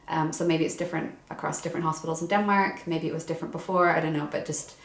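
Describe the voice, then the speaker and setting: A woman's voice: sits low, fairly even intonation, fast. A young woman vlogging in a casual, chatty way, full of fillers and restarts. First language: English. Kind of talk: casual chat. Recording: echoey room.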